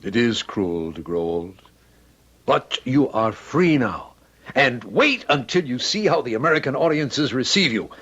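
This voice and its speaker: A man's voice: mid-range voice, expressive, measured pace, a bit bright, husky, muffled recording, exaggerated voice. An actor in an old radio drama playing a middle-aged ballet man, emphatic and theatrical, short-tempered yet protective. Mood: soothing, paternal.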